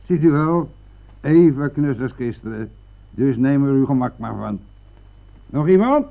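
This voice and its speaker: A man's voice: mid-range voice, very animated, a little slow, creaky. An actor playing a chatty, coarse, self-pitying, hard-drinking bus driver who needles his passenger in a colloquial radio-drama style. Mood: brisk, jovial. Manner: garrulous, jovial, needling, colloquial. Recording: tinny, band-limited, noisy old radio-play recording.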